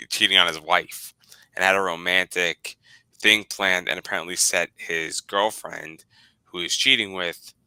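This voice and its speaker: A man's voice: low voice, steady tone, unhurried, bright, forceful tone, clean tone, no room sound, rough recording. A man in his twenties hosting a casual video-call chat, rambling and repeating words. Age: 20s. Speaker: podcast host.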